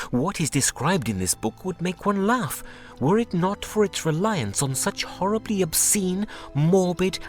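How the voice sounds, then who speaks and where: Bookish male translator, incredulous storyteller, very animated intonation, video game drama, music underneath. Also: fairly high pitch. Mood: scholarly, explanatory. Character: scholarly, sceptical male translator of ancient books.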